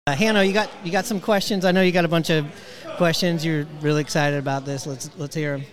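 Guy hosting a sports podcast, kinda high voice, friendly, laughs a lot. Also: steady tone, quick pace. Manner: friendly, laughs often, asks leading questions. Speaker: podcast host. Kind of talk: casual interview chat.

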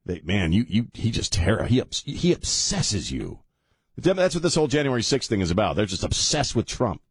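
A middle-aged man talks on his radio show in a very low voice. He rambles and gets sardonic, restarting his thoughts as he goes. The studio sound is dry and close. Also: sing-song, a little fast, breathy edge, no echo. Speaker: radio talk show host. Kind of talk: casual talk radio monologue. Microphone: studio microphone.

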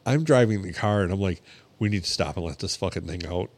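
A man with a slightly low voice chats casually on a podcast recorded in a home garage. He tells long, rambling stories about animals, swears freely, and keeps an easygoing pace. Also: fast. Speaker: podcast co-host. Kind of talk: casual chat.

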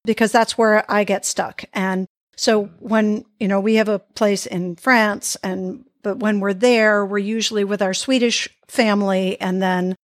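A woman's voice: mid-range voice, fairly even intonation, a little slow, few pauses, dry recording, clean studio sound. Enthusiastic American-born woman hosting an interview. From United States. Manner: enthusiastic, affirming, long rambling questions. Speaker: interview host. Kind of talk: casual interview conversation.

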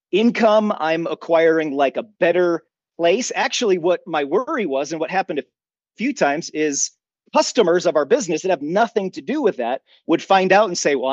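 Higher-voiced earnest man, slightly dull recording. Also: no room sound. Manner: earnest, opinionated, rhetorical questions to himself. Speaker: podcast guest, emergency preparedness expert. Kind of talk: conversational interview, long personal explanations.

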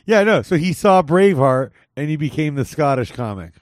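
A middle-aged man hosting a podcast, chatting casually and riffing with quick jokes and asides. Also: a little high, animated, dry recording, clean studio sound. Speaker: podcast host.

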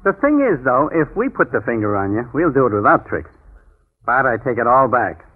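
A man's voice: mid-range voice, big pitch swings, fairly quick, husky. A man playing a blunt, skeptical, wisecracking police inspector with slangy, streetwise talk in a 1940s radio drama. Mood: needling, suspicious. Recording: muffled, lo-fi 1940s radio recording.

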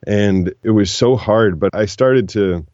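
A man in his forties, a voiceover actor being interviewed, telling stories in an animated way and switching voices when he quotes people. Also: fairly low pitch, measured pace, no room sound, highs cut off. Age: 40s. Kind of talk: relaxed storytelling conversation.

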